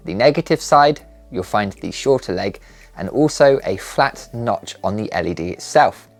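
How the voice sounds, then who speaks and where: A man's voice: lowish voice, animated, moderate pace. A man giving scripted, methodical, step-by-step instruction as the presenter of a maker channel. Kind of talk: scripted tutorial narration. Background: background music.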